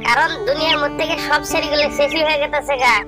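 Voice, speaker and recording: A man voicing a young village man who teases his friend in crude, rough banter, speaking. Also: very high voice, steady tone, quick pace. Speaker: voice actor. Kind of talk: comedic cartoon dialogue. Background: loud music.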